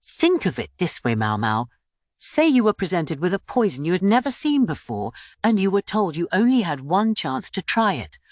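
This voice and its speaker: A woman's voice: fairly low pitch, big pitch swings, moderate pace, no echo, studio quality. Woman voicing a mischievous, wistful young woman in an audiobook. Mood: teasing.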